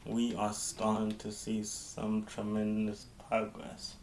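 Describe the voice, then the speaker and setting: Guy doing a mayor style public address, super slow n halting, gravelly lowish voice, noisy recording. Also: completely flat delivery, a little mellow. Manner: halting, repeats and restarts phrases.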